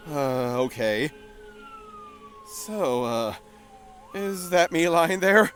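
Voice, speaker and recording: A man's voice: fairly high pitch, big pitch swings, very slow, a bit bright, slight background noise, exaggerated voice. A man playing a casual, sardonic, hesitant soul with a guilty conscience in an audio drama. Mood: flippant, startled. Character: newly dead man, flippant, nervous, guilt-ridden soul. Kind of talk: naturalistic audio drama acting.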